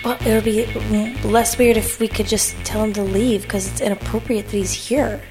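Woman playing a bossy, indignant young sorority sister, pitched up. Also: steady tone, normal speed, music in the background, close to an everyday voice. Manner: assertive, blunt, emphatic. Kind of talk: improvised comedy radio theater.

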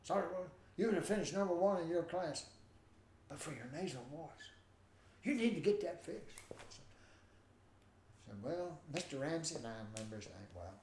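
A man's voice: fairly high pitch, normal speed, a little hiss or hum. Older nasal-voiced man telling stories. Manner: animated, acts out quoted voices. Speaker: guest. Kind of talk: storytelling in a conversation. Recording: roomy with faint ambience.

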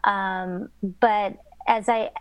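A woman's voice: mid-range voice, fairly even intonation, extremely slow, clean tone, dry recording. A middle-aged woman, born in Indonesia and raised in Southern California, talks through her family history as a podcast guest. Her storytelling wanders, full of fillers and restarts. The recording is dry and close. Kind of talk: casual interview conversation.

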